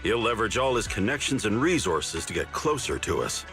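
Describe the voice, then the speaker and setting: An actor playing a cunning, easygoing senior disciple in a video game, explaining things with confidence and expression. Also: male voice, lowish voice, big pitch swings, a little fast, a bit bright, soft background music. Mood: sly, confident.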